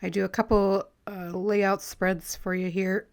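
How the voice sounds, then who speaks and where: A woman with a slightly raspy voice, still clearing her throat after being ill, chatting casually and rambling as she talks through a crafting project. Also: mid-range voice, unhurried. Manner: relaxed, rambling, friendly, with light laughs. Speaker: crafting vlogger. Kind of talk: casual chatty voice-over while collaging.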